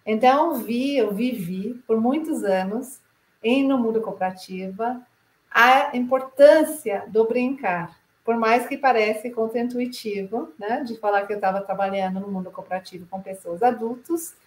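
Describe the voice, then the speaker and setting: A woman from Canada talks at a measured pace in a slightly high voice, with a Canadian English accent. She is presenting in her second language and sometimes restarts a phrase. The room around her sounds echoey. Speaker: director of a play advocacy association. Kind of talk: presentation talk to camera.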